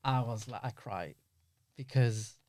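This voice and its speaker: Mellow man chatting candidly, close dry sound. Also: medium pitch, slow pace, no room sound. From Belgium. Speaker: podcast guest, filmmaker. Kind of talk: casual interview chat.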